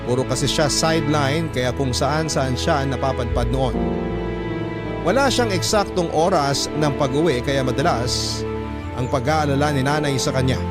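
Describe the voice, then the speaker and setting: Lalaking mapagmuni-muning tagapagsalaysay, may malakas na musika. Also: male voice, on the high side, close to an everyday voice. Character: reflective, caring son narrating his own past. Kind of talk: scripted first-person drama narration.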